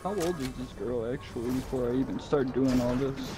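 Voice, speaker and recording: A man's voice: medium pitch, fairly quick, music in the background. A man talking casually during a game, in slang with some swearing. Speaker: gamer in a squad voice chat. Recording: slightly noisy game voice chat.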